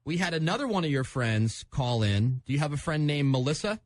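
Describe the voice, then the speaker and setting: A man's voice: on the high side, animated, a little fast. A man hosting a radio show, chatting on air with a caller in a conversational presenter style. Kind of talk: on-air prank call banter. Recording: radio broadcast audio.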